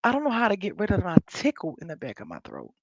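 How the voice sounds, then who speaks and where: An American woman with a raspy, scratchy voice from a coming cold, hosting a live stream in casual, rambling, unfiltered chat with her viewers. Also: lowish voice, huge pitch swings, quick pace, no room sound, highs cut off. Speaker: livestream host. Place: indoors at home, in an office.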